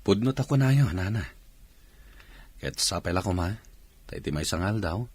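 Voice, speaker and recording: Very deep, husky village bachelor. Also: male voice, lively intonation, normal speed. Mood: polite, amiable. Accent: Filipino. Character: polite unmarried village man, modest and amiable. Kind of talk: Ilocano radio drama acting.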